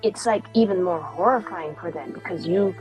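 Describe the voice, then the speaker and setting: A woman playing a tabletop roleplaying game, chiming in with quick, joking asides. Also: fairly low pitch, darkish tone, lo-fi sound, soft background music. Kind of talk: casual planning chat.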